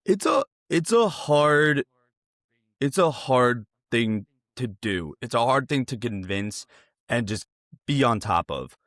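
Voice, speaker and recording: A man's voice: medium pitch, very animated, slow, fairly bright tone, dry recording, studio quality. A man hosting a casual interview, earnest and rambling, often repeating his phrases as he builds a question. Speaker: interviewer, host.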